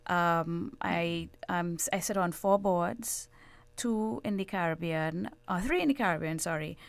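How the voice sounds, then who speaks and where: A middle-aged woman with a Trinidadian accent, speaking in a measured, earnest way as a guest analyst in a radio interview. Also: fairly low pitch, vocal fry, dry recording. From Trinidad and Tobago. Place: radio studio.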